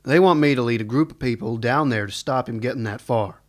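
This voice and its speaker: A man's voice: medium pitch, brisk, lightly acted. A man playing a laconic, wry, no-nonsense older US marshal in a western radio serial. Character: dry, weary, no-nonsense older Wild West marshal.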